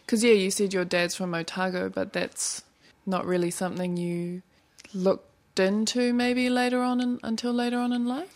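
A woman interviewing a guest, asking gentle questions in casual chat. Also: mid-range voice, fairly even intonation, moderate pace, hardly any pauses.

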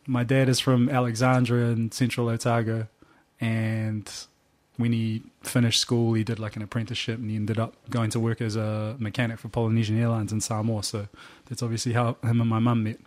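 A man's voice: medium pitch, a little fast, few pauses. Laid-back New Zealand man telling stories. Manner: relaxed, laughs often, slangy storytelling. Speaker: musician guest. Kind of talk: casual interview chat.

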